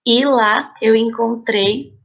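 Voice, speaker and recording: A woman's voice: on the high side, fairly even intonation, takes it slowly, fairly bright tone, dull audio. A woman with a Brazilian accent speaking as an interview guest, explaining things in a conversational, reflective way. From São Paulo, Brazil. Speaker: podcast guest, playwright, actress and teacher.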